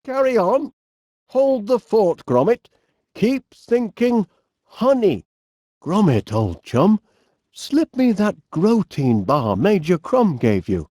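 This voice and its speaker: Eccentric cartoon game inventor, Northern English accent, very animated intonation, slow pace, cheerful, chummy, genteel. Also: male voice, sits high, no room sound, studio quality, full character voice. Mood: cheerful, confident. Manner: chummy, genteel old-fashioned phrasing, musing aloud. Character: cheerful eccentric middle-aged English inventor, fond of his dog. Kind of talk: animated video game character voice lines.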